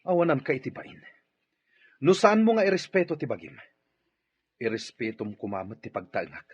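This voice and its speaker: An actor with an Ilocano Filipino accent playing a stern, commanding master of the house and wronged husband in a radio drama. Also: male voice, medium pitch, big pitch swings, normal speed, breathy edge, dry recording, muffled recording. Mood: stern, angry.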